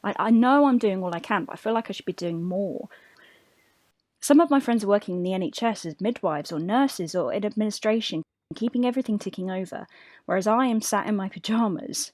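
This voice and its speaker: A woman acting a restless, guilt-ridden diarist in a confessional monologue, subdued and halting. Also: medium pitch, darkish tone, no room sound, close to an everyday voice. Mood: flat, guilty. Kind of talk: acted confessional diary monologue.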